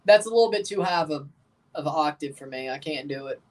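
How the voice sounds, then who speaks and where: A young man with a low voice hosting a livestream, giving blunt, opinionated hot takes while reacting to a soccer match and answering chat questions. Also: fast talker, distant mic. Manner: excitable, jumps high in pitch when agitated.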